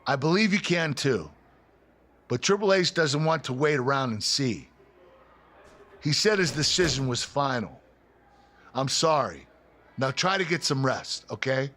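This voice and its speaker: A man's voice: medium pitch, very animated, unhurried, long pauses, fairly bright tone. A man playing a considerate, businesslike wrestling show manager in a video game cutscene. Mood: sympathetic, serious.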